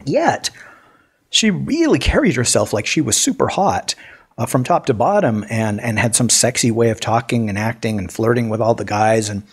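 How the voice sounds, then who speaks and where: A man co-hosting a casual podcast, telling stories in a lively way with lots of restarts. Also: medium pitch, moderate pace, slightly rough. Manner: animated storyteller, many restarts. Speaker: podcast co-host. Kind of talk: casual chat.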